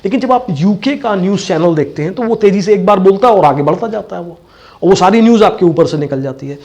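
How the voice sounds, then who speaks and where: Male accent coach, Indian accent, voice a little higher than average, instructive classroom style with deliberate repetition. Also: lively intonation, darkish tone. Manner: didactic, repeats key phrases. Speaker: voice and accent teacher. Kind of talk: bilingual classroom lecture.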